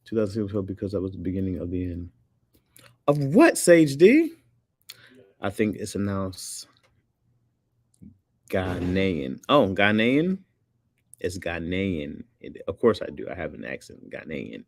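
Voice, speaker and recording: Man, very deep voice, casual streamer chat, laid-back, laughs a lot, dry close sound. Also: very animated, a little slow, vocal fry, pauses often, no room sound. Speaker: livestream host reading chat.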